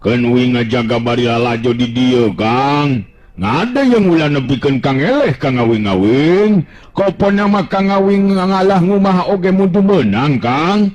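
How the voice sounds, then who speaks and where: A man voicing a huge, slow-witted, loyal sidekick with a drawling, repetitive way of talking. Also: on the high side, expressive, a little mellow, highs cut off, voice pushed up. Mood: eager, loyal. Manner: drawling, repetitive, simple-minded.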